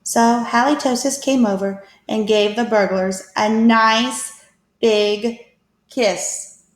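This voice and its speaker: Woman, teacher type, for children's read-aloud. Higher-pitched, expressive, deliberate storyteller; echoey room sound.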